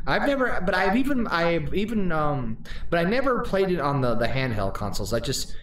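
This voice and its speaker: Man, 40s, lively pitch, candid storyteller; echoey, distant mic. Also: brisk. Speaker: podcast co-host. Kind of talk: casual podcast chat. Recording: roomy echo, off-mic, faint hiss.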